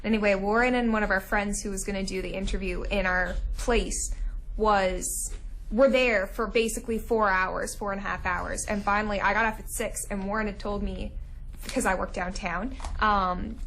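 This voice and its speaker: A woman's voice: medium pitch, steady tone, normal speed, a bit bright, a little hiss or hum, rough recording. Young woman telling a rambling story. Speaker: campus radio show co-host. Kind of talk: casual interview chat.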